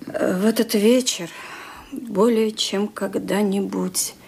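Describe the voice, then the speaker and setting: An actress playing a brave, secretive old aunt in a radio play, speaking in halting, deliberate phrases. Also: female voice, mid-range voice, fairly even intonation, vocal fry, darkish tone, breathy edge, heavily acted. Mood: insistent.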